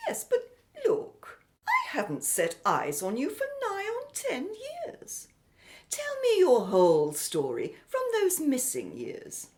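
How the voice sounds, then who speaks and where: A woman's voice: high voice, wildly swooping pitch, takes it slowly, exaggerated voice. A woman playing a spirited, inquisitive middle-aged British sister in an audio drama, with a theatrical, arch, teasing delivery. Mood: curious, teasing.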